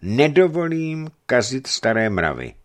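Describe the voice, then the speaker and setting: A man voicing a frail old archbishop in an audiobook, speaking with a quiet, aged voice that grows firm. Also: mid-range voice, very animated, voice pushed up, lightly acted. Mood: solemn.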